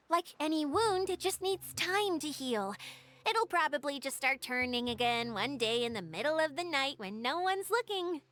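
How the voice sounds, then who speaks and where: A woman voicing a shy, gentle young female water spirit in a video game. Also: sits high, dry recording. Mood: gentle, reassuring.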